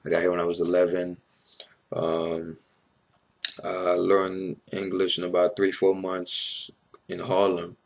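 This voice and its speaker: Tired young guy from ivory coast, french his first language, deep husky voice, swears a lot, rambles. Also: completely flat delivery, a little slow, pauses often. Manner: blunt, swears freely, rambling asides. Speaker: vlogger. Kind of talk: casual storytelling to camera.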